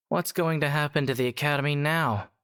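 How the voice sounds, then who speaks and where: Slow-spoken, sombre young man. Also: a little high, fairly bright tone. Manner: sombre, measured. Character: sombre, worried young man, burdened son. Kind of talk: anime game dialogue.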